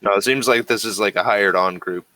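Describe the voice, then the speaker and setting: A man running a tabletop game as game master, answering players haltingly as he thinks aloud. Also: lowish voice, sharp tone, no echo.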